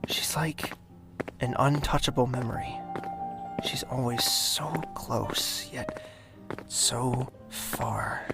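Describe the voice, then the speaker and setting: Man playing a slow-spoken young fantasy nobleman over music. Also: mid-range voice, vocal fry, whispered. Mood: wistful, smitten. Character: young nobleman, exasperated, secretly smitten, fearful of his father. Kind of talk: fantasy audio drama acting.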